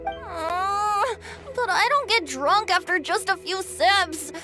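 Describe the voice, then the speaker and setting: A woman's voice: very high-pitched, slow, music in the background. A woman voicing a carefree, playful young male bard in a game, with a breezy, wheedling way of talking.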